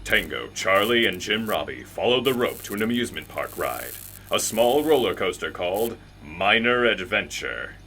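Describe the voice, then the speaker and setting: A theatrical narrator played by a man with a low, bright, forceful voice. He tells the tale deliberately and with tongue in cheek, as in a radio drama, over slight noise. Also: very animated, exaggerated voice. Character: grand male storybook narrator who talks back to characters.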